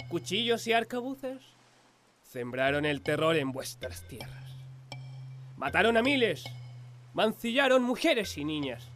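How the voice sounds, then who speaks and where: High-voiced, righteous young priest. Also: male voice, a bit bright. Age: young adult. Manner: fervent, oratorical. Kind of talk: radio drama acting.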